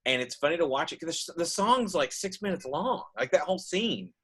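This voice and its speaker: A man's voice: on the high side, lively intonation, fairly quick, a bit bright, slightly rough. A man hosting an informal group chat, talking in a casual, rambling way. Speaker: host of a virtual cast panel, puppet show writer.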